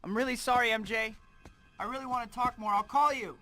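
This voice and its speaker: A man's voice: very high-pitched, fairly even intonation, brisk, pushed and bright. A young American man voicing a glib, awkward young superhero in a video game, with music behind him. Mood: awkward, apologetic. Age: young adult. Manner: glib, quipping. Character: wisecracking, awkward young male superhero and photographer.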